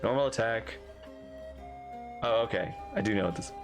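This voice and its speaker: A man reacting casually to a game as a streamer, expressive and joking. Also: on the low side, moderate pace. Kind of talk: casual live reaction commentary. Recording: game music behind the voice.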